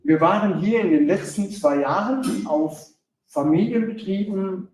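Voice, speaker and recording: A man speaking German at a lecture, pausing in short chunks so an interpreter can translate. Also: high voice, darkish tone, slight background noise. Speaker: guest speaker, sales director. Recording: echoey hall, voice from far off.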